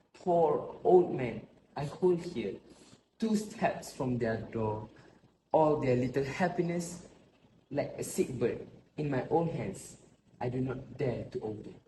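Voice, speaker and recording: A man playing a solemn, sorrowful grandfather in his eighties, speaking deliberately as in an amateur stage play. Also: fairly high pitch, mellow. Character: sorrowful, grave old grandfather of nearly eighty-three. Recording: roomy echo with faint noise.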